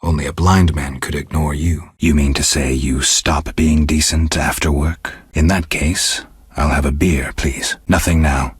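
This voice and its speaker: Stoic middle-aged monster hunter, extremely low pitch, heavy husky rasp, laconic, world-weary, video game dialogue. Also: male voice, fairly even intonation, a little slow, no room sound, exaggerated voice. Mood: dry, calm. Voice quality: deep, gravelly, husky. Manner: dry, laconic, restrained delivery.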